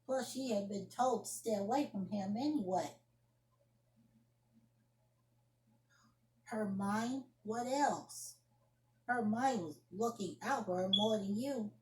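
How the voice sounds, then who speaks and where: A woman's voice: mid-range voice, measured pace, natural voice. Woman acting an indignant young woman, echoey room. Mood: fed up. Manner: scolding, emphatic, repeats phrases. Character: indignant young woman scolding her friend's relative by phone. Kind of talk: amateur solo acted monologue, one side of a phone argument. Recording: roomy echoing home recording.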